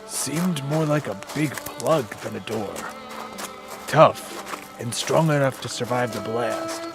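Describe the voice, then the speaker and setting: A man's voice: medium pitch, slow, gravelly. A man playing a pragmatic, determined ninja investigator in an audio drama. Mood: focused. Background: prominent music.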